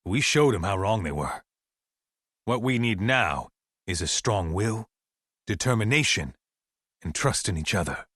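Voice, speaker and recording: An actor playing a middle-aged, paranoid survivalist militia leader with an American accent, giving folksy, emphatic radio monologues in a video game. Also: male voice, on the low side, a bit bright, slightly rough, no room sound, clean studio sound, full character voice. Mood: defiant, preachy. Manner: folksy, preachy, deliberate, emphatic. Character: paranoid male survivalist militia leader broadcasting anti-government radio sermons. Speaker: pirate radio broadcaster and camp leader.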